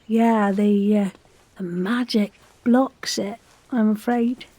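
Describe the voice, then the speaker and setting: An actress playing a mysterious, teasing woman in an audio drama, husky and mellow, with a playful, hesitant delivery. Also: mid-range voice, steady tone, slow pace, no echo. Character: mysterious, teasing woman luring others with magic.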